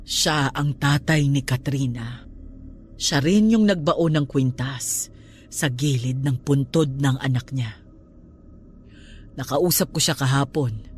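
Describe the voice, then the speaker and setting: A woman playing a stern but caring middle-aged religious aunt in an emphatic Tagalog radio drama. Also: low voice. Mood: subdued, serious. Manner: emphatic, scolding then consoling.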